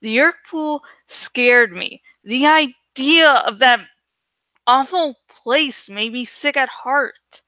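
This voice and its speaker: A woman hosting a livestream reading of a novel, speaking in an expressive, deliberate way with casual, dramatic delivery. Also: high-pitched, no room sound. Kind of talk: dramatic audiobook-style reading with casual asides.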